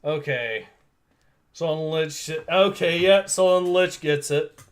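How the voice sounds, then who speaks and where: A man's voice: a little high, takes it slowly. Male livestream host, rambly, laughs a lot, reads chat casually. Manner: rambling, laughs often, many fillers.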